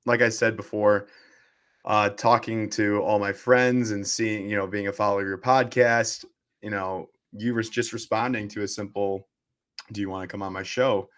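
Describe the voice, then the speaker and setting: A man's voice: lowish voice, fairly even intonation, moderate pace, a bit bright. A young man in his twenties hosting a podcast interview, eager but hesitant, with many fillers. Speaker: podcast host, student. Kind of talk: casual interview conversation.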